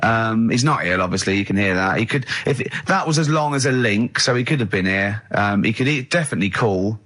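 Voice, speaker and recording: A man's voice: on the low side, lively intonation, brisk, a bit bright. A man hosting a radio show, chatting casually and teasingly, often repeating himself.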